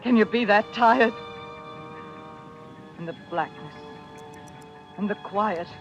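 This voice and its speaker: A woman acting a grieving, lovesick, anxious widow in old-time radio drama, with halting, melodramatic delivery. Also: mid-range voice, dull audio. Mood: haunted, weary. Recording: old radio recording with music. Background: dramatic music.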